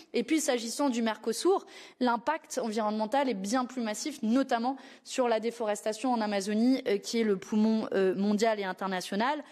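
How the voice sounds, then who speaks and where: A woman's voice: a little high, darkish tone. A woman in her thirties answering questions at a press briefing from a podium microphone. She speaks combatively, with frequent fillers and restarts. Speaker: party group leader at a press briefing.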